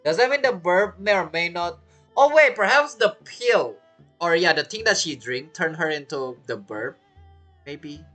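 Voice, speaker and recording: A gamer with a bright, mid-pitched voice comments casually on play. The delivery is lively and swings around in pitch while thinking aloud. Faint game music is behind it. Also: unhurried. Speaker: game streamer. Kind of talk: casual live gameplay commentary.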